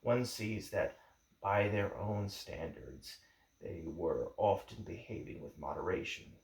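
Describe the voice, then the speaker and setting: A man reading a history book aloud in a measured, formal way, with deliberate phrasing. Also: deep voice, expressive, vocal fry. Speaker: book reader. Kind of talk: audiobook-style reading of a history book introduction. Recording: echoey room.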